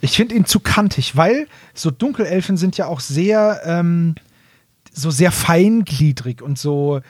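A man's voice: on the high side, measured pace, breathy edge, no room sound, clean studio sound. A man, a podcast co-host, explaining fantasy lore in casual chat.

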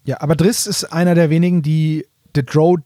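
A man's voice: a little high, little pitch movement, fairly quick, warm tone, no room sound, studio quality. A middle-aged German man co-hosting a podcast in casual fan chat, explaining things and often rephrasing himself mid-sentence. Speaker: podcast co-host reviewing audio dramas.

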